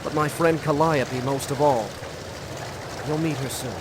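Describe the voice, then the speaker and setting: A man's voice: fairly high pitch, fairly even intonation, measured pace, very noisy, natural voice. A man playing an earnest, devoted protector on the run in a video game, speaking with grave, dramatic urgency. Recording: loud music over the voice.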